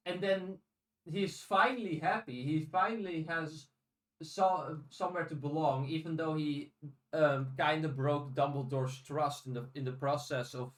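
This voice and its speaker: A man co-hosting a podcast in a casual discussion, speaking haltingly with restarted phrases and casual swearing. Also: fairly high pitch, fairly even intonation. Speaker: podcast co-host. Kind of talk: casual discussion of audiobooks and fiction. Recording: roomy echo, distant from microphone.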